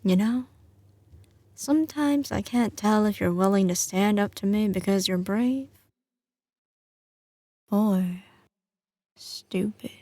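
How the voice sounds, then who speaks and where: A slow-spoken mafia boss girlfriend, acted by a woman, with a light Southern US drawl. She is teasing and doting yet ruthless, sliding between command and tenderness in a close, dry ASMR audio roleplay. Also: mid-range voice, warm tone, dry recording. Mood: teasing, affectionate. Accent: slight Southern US drawl. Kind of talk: ASMR audio roleplay, intimate scripted acting.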